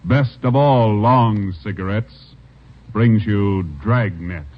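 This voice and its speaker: A man's voice: fairly low pitch, takes it slowly. A man making scripted announcements in an old-time radio style. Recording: dull vintage radio recording.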